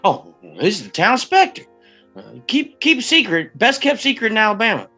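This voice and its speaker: A woman's voice: a little high, sing-song, moderate pace, bright, forceful tone, soft background music, full character voice. A woman playing a friendly, slightly drunk forty-year-old man, a small-town mayor who stammers and rambles in a screenplay table read. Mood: folksy, tipsy.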